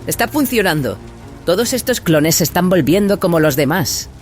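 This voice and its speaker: A man voicing an awed, earnest soldier in an audio drama, speaking with hushed urgency. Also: sits high, big pitch swings, moderate pace, soft background music, voice pushed up. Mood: earnest excitement.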